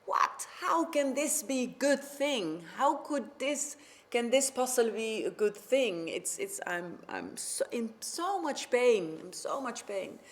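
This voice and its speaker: A woman's voice: on the high side, animated, slow pace, pitched above the performer's own voice. A woman playing a wailing, furious king. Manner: wailing, stammering outbursts. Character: pained, hot-tempered male king.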